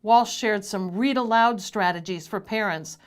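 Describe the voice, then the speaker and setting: A woman's voice: medium pitch, a little slow, clear, resonant tone. A woman reporter reading scripted narration for a television news feature.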